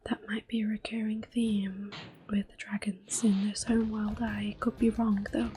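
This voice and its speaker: A woman giving gentle, unhurried, soft whispered ASMR commentary on a game as the host. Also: mid-range voice, level tone.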